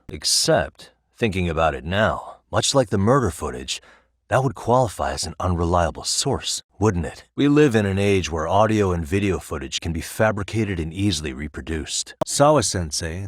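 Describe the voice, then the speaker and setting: A sharp, composed male defense lawyer from a video game, with a low-pitched voice recorded very dry. He speaks in measured, articulate phrases. His questioning is formal, probing and insistent. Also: no echo. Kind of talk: scripted dramatic courtroom dialogue in a game.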